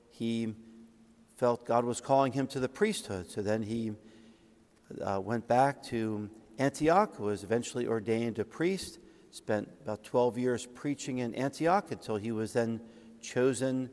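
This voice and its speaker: A middle-aged man delivers a homily from the front of a large church. His voice sits at an ordinary male pitch and rings with long reverb. He speaks in measured phrases, like a priest telling a saint's life and reading from old writings. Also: darkish tone. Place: large church sanctuary, at the ambo.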